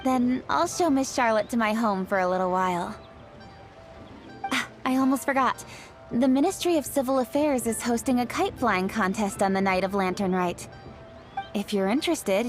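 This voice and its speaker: An actress playing a poised young woman in a video game, who explains things in a calm, polite way. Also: on the high side, moderate pace, faint music behind, natural voice. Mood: explaining.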